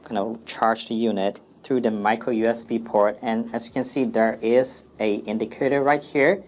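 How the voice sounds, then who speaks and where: A man's voice: medium pitch, fairly even intonation, normal speed, darkish tone, a little hiss or hum. A man presenting an informal product FAQ demonstration in a plain, step-by-step instructional way.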